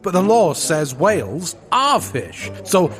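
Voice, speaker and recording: An actor playing an arrogant, gruff Gilded Age tycoon villain in a comedic period audio drama, sneering and bombastic in slangy talk. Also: male voice, sits high, very animated, takes it slowly, fairly bright tone, faint music behind, exaggerated voice. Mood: impatient, scheming.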